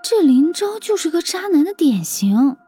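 Woman voicing a high, wry young female traveler. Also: very animated, moderate pace, mellow, faint music behind. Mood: scornful muttering. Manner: wry, muttering asides. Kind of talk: character dialogue in an audio novel.

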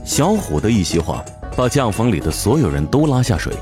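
A man narrating an audio drama in an expressive, theatrical storytelling style, reading from a script. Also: on the low side, brisk, music in the background.

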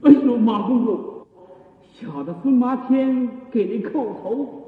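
A man's voice: very high-pitched, unhurried. An actor playing a fawning, blustering palace eunuch steward in a Chinese period radio drama, obsequious to his betters and barking at underlings. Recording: phone-like lo-fi, long echoing reverb.

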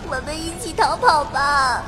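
Very high, tearful little sister. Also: female voice, lively intonation, normal speed, music in the background. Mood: tearful, pleading. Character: clinging, distraught little princess, younger sister. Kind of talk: Chinese audio drama acting.

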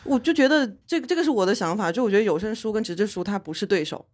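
A woman chatting casually on a podcast, arguing her opinion quickly and laughing as she talks. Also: medium pitch, animated, darkish tone. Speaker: podcast guest. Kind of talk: casual chat.

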